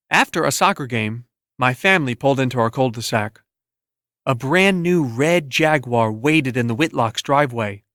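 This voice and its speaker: A man's voice: mid-range voice, fairly bright tone, dry recording, studio quality. A man reading a scripted first-person literary story as an audiobook narrator.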